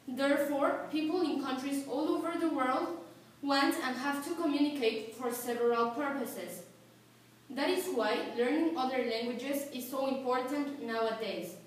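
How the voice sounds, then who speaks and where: A woman's voice: high voice, unhurried. A teenage girl from Mexico with a Mexican Spanish accent, a native Spanish speaker, reciting a school speech carefully, phrase by phrase. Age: teens. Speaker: student. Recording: echoey room, distant mic, faint hiss.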